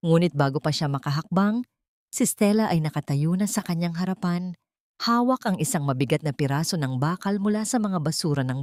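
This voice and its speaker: A woman narrates a scripted drama in a somewhat low voice. Her delivery is solemn and melodramatic. The sound is very dry, with no room echo. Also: a little mellow. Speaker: story narrator.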